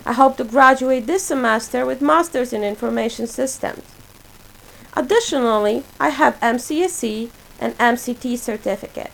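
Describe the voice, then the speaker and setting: A woman in her forties from Poland, a native Polish speaker, reading a prepared self-introduction for her portfolio in a measured, list-like way. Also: fairly high pitch, vocal fry, a bit bright, low-quality recording. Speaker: graduate student presenting a portfolio.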